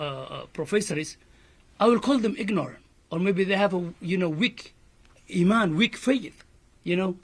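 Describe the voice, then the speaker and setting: Man, Somali accent, imam, high-pitched, earnest, repetitive, press statement. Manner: earnest, repetitive, many "you know" fillers.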